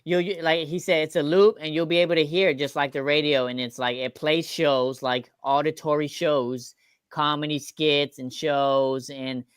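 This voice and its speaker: Male, slightly high and clear, casual explainer, loose chained sentences. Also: steady tone, normal speed, no room sound, clean studio sound.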